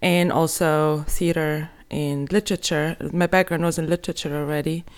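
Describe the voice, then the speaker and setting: A woman's voice: low voice, steady tone, normal speed, clear, resonant tone. Chatty woman from Egypt, Arabic native. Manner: chatty, self-interrupting, laughs at herself. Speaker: guest, artist and translator. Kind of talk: casual interview chat.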